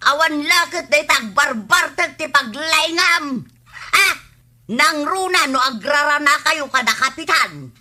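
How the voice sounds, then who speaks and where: An actress with an Ilocano accent playing a sharp-tongued, nagging village wife in a radio comedy drama. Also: female voice, sits high, expressive, unhurried, heavily acted.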